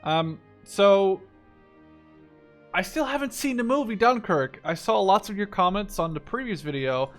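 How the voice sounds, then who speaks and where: A man's voice: high voice, animated, measured pace, faint music behind. A man in his twenties giving casual gameplay commentary, thinking aloud informally as he plays. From Netherlands. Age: 20s. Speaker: gaming video host.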